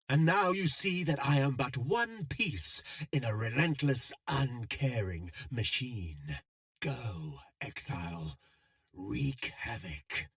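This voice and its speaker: An actor playing a calculating, world-weary male schemer in a video game, speaking in measured, eloquent, cryptic phrases. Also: medium pitch, dry recording, clean studio sound. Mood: bleak, resigned. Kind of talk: scripted game dialogue, theatrical.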